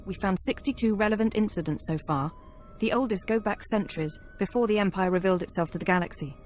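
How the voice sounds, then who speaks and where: Woman as brisk spy analyst, mid-pitched, clinical, precise, game cutscene, faint music underneath. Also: darkish tone, close to an everyday voice. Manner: precise, clinical, matter-of-fact. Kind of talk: video game cutscene acting.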